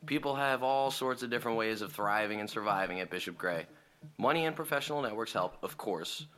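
An actor playing a laid-back, teasing American legacy high school senior in an audio drama, with a casual, wry manner. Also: male voice, brisk, bright, forceful tone. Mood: teasing, reassuring. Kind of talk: conversational audio drama acting.

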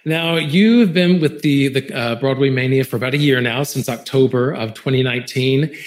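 A man hosting an online interview, asking questions and affirming answers often. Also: mid-range voice. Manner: affirming, quick to agree. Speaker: interview host. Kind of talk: virtual red carpet interview.

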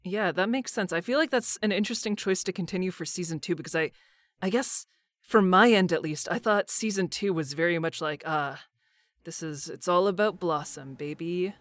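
A woman's voice: fairly low pitch, moderate pace, dry recording. A woman who works as a voice actor, chatting casually in an informal, slangy way. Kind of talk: casual chat.

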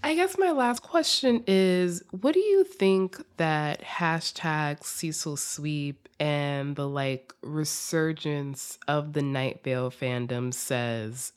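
A woman's voice: sits low, takes it slowly, hardly any pauses, clean tone. A woman hosting a podcast in a casual, conversational style, informal and effusive. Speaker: podcast host.

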